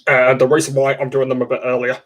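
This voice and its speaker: A man's voice: medium pitch, completely flat delivery, fast talker, fairly bright tone. A young man, chatting casually on a home livestream and stumbling through constant "uh" fillers and restarts. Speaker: livestream host. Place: dark room at home, indoors.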